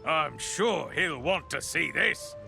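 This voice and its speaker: A folksy fantasy-game miller with a very creaky, forceful voice pitched a bit high. He blusters heartily, like a tradesman with a grievance. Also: animated, slow pace, soft background music, full character voice. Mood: dismayed. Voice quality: gravelly, sharp. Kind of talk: video game character acting.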